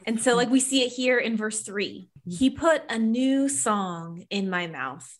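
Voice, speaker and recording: A woman's voice: on the high side, lively intonation, measured pace. A middle-aged woman talking casually and earnestly about faith as a podcast guest, with emphatic, informal phrasing. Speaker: podcast guest, Bible study author.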